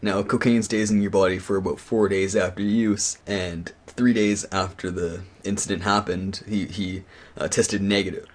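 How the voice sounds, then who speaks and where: A man playing a stern, accusing attorney on a prank call, questioning in an official but halting way that repeats words. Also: sits low, little pitch movement. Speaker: prank caller. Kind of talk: interrogation on a prank phone call.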